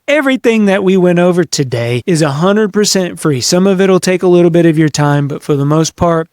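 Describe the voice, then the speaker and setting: A man's voice: on the high side, darkish tone, no room sound, studio quality. A man hosting a leadership podcast, coaching listeners directly in an earnest, emphatic way and repeating key phrases for stress. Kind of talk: motivational coaching monologue, direct address to listeners.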